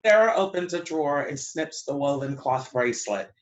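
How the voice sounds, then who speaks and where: A man's voice: on the high side, animated, moderate pace, highs cut off, close to an everyday voice. A man as a neutral narrator, reading out stage directions at a play reading. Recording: echoey room.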